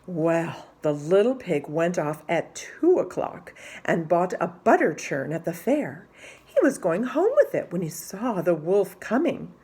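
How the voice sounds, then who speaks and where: A woman reading a children's story aloud as an expressive narrator. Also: sits high, breathy edge.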